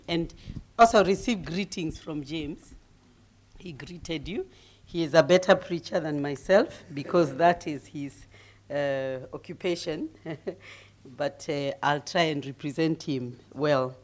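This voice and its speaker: A middle-aged woman from Kenya with a deep voice, preaching as a guest speaker, humble and given to laughing, growing loud and emphatic as she reads scripture. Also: unhurried, slight background noise. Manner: grows loud and emphatic when reading scripture. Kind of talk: sermon.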